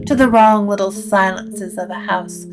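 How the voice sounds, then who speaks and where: A woman narrating scripted supernatural fiction in a measured, literary storytelling manner. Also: medium pitch, fairly even intonation, music in the background.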